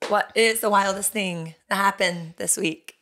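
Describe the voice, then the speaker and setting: Middle-aged American woman co-host, loud and lively, mid-pitched voice. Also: unhurried, slight background noise. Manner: loud, animated storyteller. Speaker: podcast co-host. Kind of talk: casual chat.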